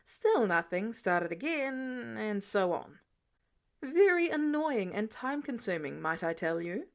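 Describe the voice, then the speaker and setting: A sardonic older inventor and mentor, voiced by a woman reading fan fiction aloud. The delivery is dry and teasing, with an exasperated edge. The voice sits on the high side. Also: very animated, unhurried.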